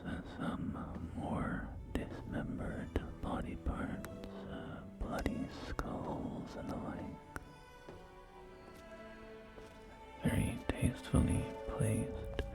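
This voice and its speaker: A man playing a polite, unflappable real estate agent of the wasteland in a soft ASMR roleplay, whispering in a measured, courteous sales patter. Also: on the low side. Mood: matter-of-fact, proud. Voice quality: soft, breathy whisper with creaky murmur. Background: music.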